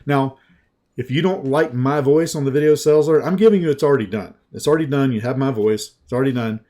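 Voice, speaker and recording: Southern US man, sales coach type, mellow unhurried webinar pitch with a folksy feel. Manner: slow, folksy, conversational with restarts.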